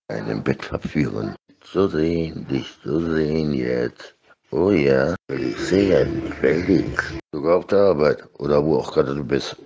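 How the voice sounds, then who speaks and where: A man's voice: very deep voice, unhurried, creaky, background noise. A middle-aged man rambling insistently and repetitively in an explicit, suggestive monologue, speaking close to a phone or webcam. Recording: dull lo-fi clips.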